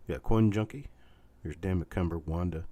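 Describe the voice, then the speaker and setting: A man hosting a casual live online auction stream, chatting in a rambling, halting, folksy way with a scratchy, stuffed-up voice weakened by illness. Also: low voice, dark tone, no echo. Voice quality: congested, stuffed-up nose. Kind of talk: casual livestream chat with viewers.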